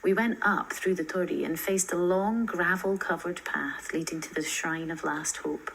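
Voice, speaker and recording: A woman's voice: lowish voice, moderate pace, vocal fry, a bit bright, a little hiss or hum, low-quality recording. A woman reading a scripted story aloud as its narrator.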